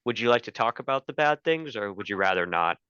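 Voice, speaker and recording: A man hosts a conversational interview podcast. His voice is fairly low, bright and forceful, with crisp articulation. The recording is dry and a little dull. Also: lively intonation, fast talker, dry recording. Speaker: podcast host.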